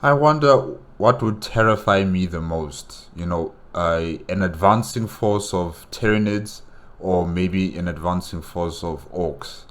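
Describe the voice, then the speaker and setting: A man hosting a reaction video, giving casual off-the-cuff commentary in a musing, hesitant way with pauses to think. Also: sits low. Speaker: solo reaction video host.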